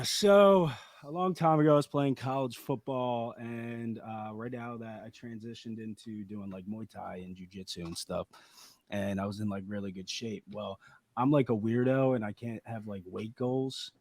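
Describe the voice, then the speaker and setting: A man with a slightly raspy voice, a podcast guest rambling through a personal story in casual chat with lots of fillers. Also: medium pitch, steady tone, moderate pace, dry recording. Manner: rambling, laid-back storytelling with many fillers.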